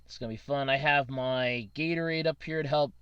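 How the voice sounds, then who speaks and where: A man's voice: medium pitch, steady tone, brisk, a bit bright, clear, resonant tone, no room sound, muffled recording. Man with a scratchy allergy throat. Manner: rambling, self-correcting, chuckling. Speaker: podcast host. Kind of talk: casual solo podcast chat.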